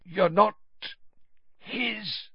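A man's voice: fairly high pitch, lively intonation, moderate pace, no echo, voice pushed up. A man voicing a confused, desperate older official who rambles and gasps out broken phrases. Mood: whispering. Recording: dull, slightly lo-fi recording.

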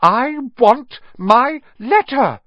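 A man's voice: very high voice, expressive, drawn-out words, no room sound, dull audio, voice pushed up, full character voice. A man voicing a defiant, indignant young boy who speaks insistently in an audiobook story. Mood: furious, demanding. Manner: insistent, demanding.

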